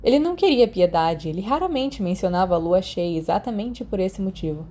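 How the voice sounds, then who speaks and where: A woman's voice: mid-range voice, animated, fairly quick, mellow. A woman with a Brazilian accent reading a story aloud as a scripted narration. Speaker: audiobook narrator.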